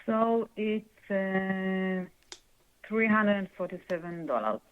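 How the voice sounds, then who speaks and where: A woman's voice: medium pitch, slow pace, clear, resonant tone. Israeli woman on a tinny phone line. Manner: polite, patient, helpful. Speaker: hotel receptionist. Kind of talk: phone call.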